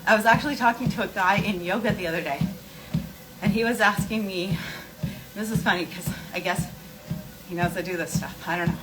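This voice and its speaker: A woman's voice: mid-range voice, a little fast, over music, poor audio. A woman hosting a casual skincare livestream, answering viewer questions and explaining emphatically, repeating key points with rhetorical questions. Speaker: skincare and wellness livestream host.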